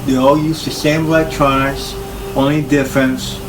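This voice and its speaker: An older man casually showing equipment unscripted, plain-spoken and halting, with phrases that trail off. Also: mid-range voice, level tone, noisy background. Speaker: collector presenting his tape machines. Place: indoor room full of audio gear.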